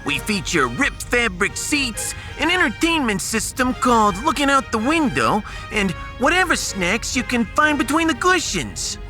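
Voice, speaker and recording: A man's voice: very high-pitched, wildly swooping pitch, measured pace, few pauses, over music, pitched above the performer's own voice, heavily acted. A man voicing a wisecracking shuttle pilot with showy limo-driver patter in a science fiction audiodrama. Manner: showy mock-announcer salesmanship.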